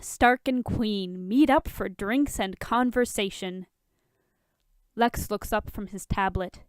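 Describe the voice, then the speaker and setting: A woman reading fan fiction aloud as an expressive, scripted audiobook narration. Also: medium pitch, clean tone, dry recording, clean studio sound.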